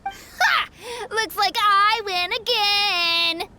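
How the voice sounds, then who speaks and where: A very high, bright little child's voice, spirited and boastful. The words come slowly and with relish, in the manner of a video game kid sure of winning. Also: female voice, animated, slight background noise, exaggerated voice. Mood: gloating. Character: confident, gleeful young child playing beetle fights. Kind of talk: animated game dialogue acting.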